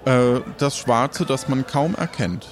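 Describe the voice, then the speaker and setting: A man narrating an improvised adventure game as game master, halting and searching for his words. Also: medium pitch, crowd noise.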